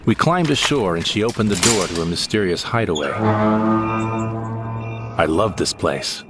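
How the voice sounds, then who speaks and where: A man narrating a first-person audio drama in a wry, unhurried manner. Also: low voice, sing-song, loud music behind the voice.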